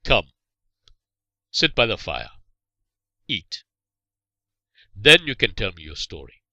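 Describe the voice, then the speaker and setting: A man voicing a stern but merciful Saracen warrior in a storybook, speaking in a measured, formal, commanding way. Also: on the low side, wildly swooping pitch, pushed and bright, no echo, full character voice. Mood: calm, firm.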